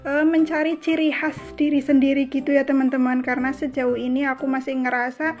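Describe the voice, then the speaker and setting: A woman's voice: high-pitched, level tone, a little fast, a little mellow. A woman from Cilacap in Indonesia with a Javanese Ngapak accent, chattily narrating a casual homemaker vlog and often addressing her viewers as friends. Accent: Javanese Ngapak (Banyumasan, Cilacap). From Cilacap, Central Java, Indonesia. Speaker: homemaker vlogger. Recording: slightly dull voice-over under music. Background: background music.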